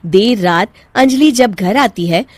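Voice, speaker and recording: A woman's voice: mid-range voice, lively intonation, takes it slowly, no room sound. A woman reading scripted narration for a cartoon family story.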